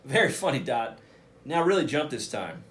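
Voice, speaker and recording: A man voicing a teasing older brother reindeer in a storybook, loud and instructing. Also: medium pitch, sing-song, normal speed, a little hiss or hum.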